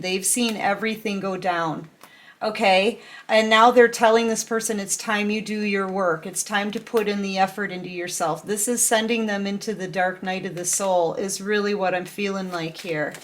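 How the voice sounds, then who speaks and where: A woman doing a casual tarot reading, chatty and affectionate, full of fillers and restarts, a little sick and clearing her throat. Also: medium pitch, fairly even intonation, moderate pace. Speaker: tarot reader.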